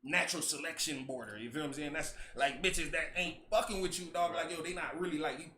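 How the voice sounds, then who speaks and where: A profane, argumentative podcast host in his thirties talks quickly in a fairly high voice. His tone is bright and forceful. The sound is echoey, with a faint background hiss. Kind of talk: casual chat.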